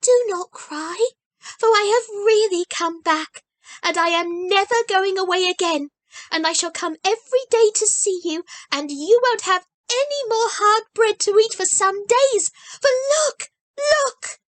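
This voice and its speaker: A woman putting on a little storybook girl, high and eager, her lines bursting out with excitement. The voice sits very high and sounds dry and close. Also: unhurried, no room sound. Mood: reassuring.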